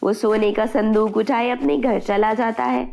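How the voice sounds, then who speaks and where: A woman's voice: fairly high pitch, steady tone, unhurried, gravelly, a little mellow. A woman narrating a scripted fairy tale for children. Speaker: storyteller.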